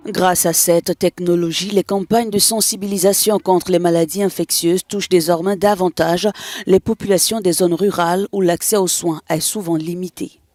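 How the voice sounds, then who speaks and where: A news narrator reads scripted reports as a voice-over, at a medium pitch. The sentences are complete and formal, in the manner of a television report. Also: fairly even intonation, normal speed, a little mellow, no echo, studio quality.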